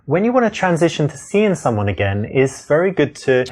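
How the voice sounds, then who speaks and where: A man's voice: fairly high pitch, big pitch swings, fairly quick, a little mellow. A man with a British accent playing a self-assured dating coach in a video game, speaking conversationally. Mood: instructive. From London, England. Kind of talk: conversational acting and instruction to viewer.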